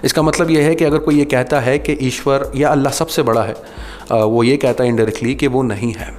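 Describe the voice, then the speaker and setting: Indian guy hosting an explainer video, mid pitched voice, earnest phrase by phrase delivery, bit of background noise. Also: steady tone, normal speed. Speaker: video host explaining and commenting. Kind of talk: explanatory talk to viewers. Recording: clearly noisy background ambience.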